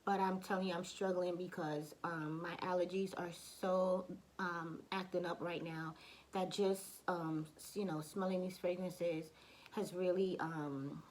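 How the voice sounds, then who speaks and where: Hoarse chatty woman reviewing fragrances. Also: on the low side, fairly even intonation, measured pace, a little mellow, slight background noise, rough recording. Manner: chatty, many fillers and restarts. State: hoarse from allergies, coughing. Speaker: beauty vlogger. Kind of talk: casual product review.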